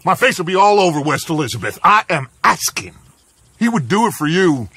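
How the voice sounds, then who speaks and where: An actor playing a persuasive, grandiose American outlaw gang leader in a western video game, speaking with deliberate authority. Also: male voice, a little high, big pitch swings, sharp tone, slightly rough, no room sound, heavily acted. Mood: insistent. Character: commanding, persuasive middle-aged male outlaw gang leader. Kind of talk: video game cutscene acting.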